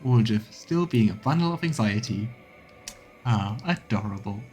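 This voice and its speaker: A man's voice: on the low side, lively intonation, normal speed, darkish tone, soft background music. A man streaming a game, reading story text aloud and adding casual asides. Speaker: streamer narrating a let's play.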